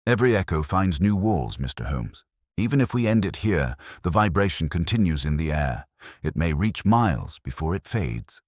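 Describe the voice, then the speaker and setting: A man narrating a story as a weary, fatalistic old inventor who speaks gravely and formally. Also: deep voice, very animated, moderate pace, no echo, clean studio sound.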